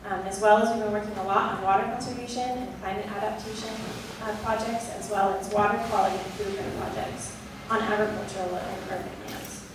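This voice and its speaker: A woman with a quiet, soft voice, giving an explanatory talk as a conservation district director, with many ums. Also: medium pitch, fairly even intonation, quick pace. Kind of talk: presentation to a club meeting. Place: meeting room. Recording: echoey room from far off, noisy.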